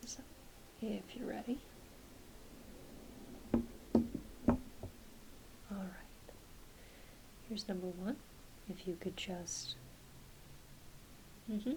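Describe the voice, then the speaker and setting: A woman playing a gentle, reassuring doctor in an ASMR exam roleplay, speaking softly and hesitantly, often half-whispering. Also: mid-range voice, rough recording. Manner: soft, half-whispered, hesitant, apologetic bedside talk. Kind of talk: soft-spoken ASMR medical exam roleplay. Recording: faint steady hiss behind close voice.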